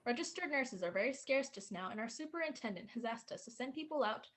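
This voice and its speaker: High, formal hospital receptionist played by a woman. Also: lively intonation, fast talker, a bit bright. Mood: officious, polite. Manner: formal, polite, businesslike. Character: polite, unhurried, officious hospital registry receptionist. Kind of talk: 1940s radio drama acting.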